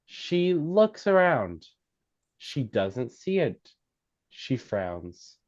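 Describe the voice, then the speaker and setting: A man reading screenplay stage directions at a table read, halting and stumbling over words as he goes. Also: medium pitch, sing-song, a little mellow. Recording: slightly dull, lo-fi sound.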